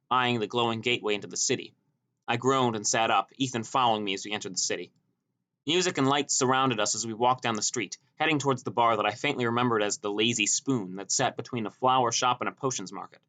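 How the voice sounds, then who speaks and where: A man's voice: medium pitch, fairly quick, sharp tone. A man reading a scripted first-person story as an audiobook narrator.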